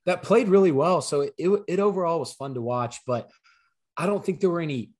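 A man co-hosting a sports podcast, his voice slightly hoarse from losing it, explaining things in an easygoing, conversational way. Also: on the high side, a little fast, a little mellow. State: partly lost voice, slightly hoarse. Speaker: podcast co-host. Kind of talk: casual sports podcast chat.

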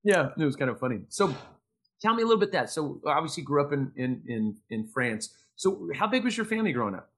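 Fast-talking, effusive male podcast host. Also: fairly high pitch, very animated, fairly bright tone. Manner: effusive, informal, wordy. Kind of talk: casual interview chat.

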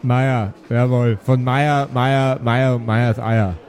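A man playing a courteous, matter-of-fact cook with metal limbs, formal and measured, in a tabletop audio game. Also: mid-range voice, fairly even intonation, darkish tone, natural voice.

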